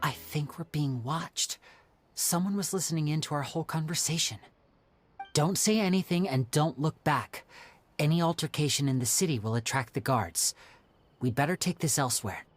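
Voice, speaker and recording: A woman voicing a composed, courteous, shrewd young character in a video game, measured and wry. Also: deep voice, soft background music, close to an everyday voice. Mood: cautious. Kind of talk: English video game voice acting.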